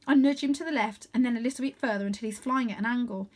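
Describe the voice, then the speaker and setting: A woman narrates a children's adventure story aloud. Her voice sits a little high, and she reads expressively, placing dramatic emphasis on the action. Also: quick pace.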